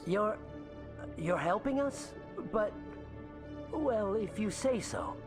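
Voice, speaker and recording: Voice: medium pitch, sing-song, takes it slowly. A stammering, evasive scientist. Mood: nervous. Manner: stammering and defensive. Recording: game scene with music.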